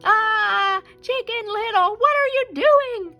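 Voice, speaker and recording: Woman voicing a high, fretful storybook hen. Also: takes it slowly, bright, forceful tone, soft background music, full character voice. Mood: suspicious. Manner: urgent exclamations. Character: alarmist flock of chickens, fretful and dramatic.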